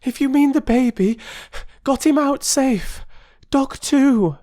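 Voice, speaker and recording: A man's voice: very high voice, takes it slowly, darkish tone, pitched above the performer's own voice. A man voicing a brave young girl who is out of breath from running. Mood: urgent.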